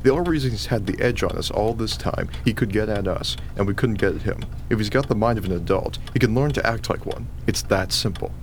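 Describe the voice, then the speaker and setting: A man's voice: lowish voice, brisk, hardly any pauses, slight background noise, close to an everyday voice. A man playing a nervous expectant husband in a radio play, trying to sound confident. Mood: reassuring. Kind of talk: radio drama acting.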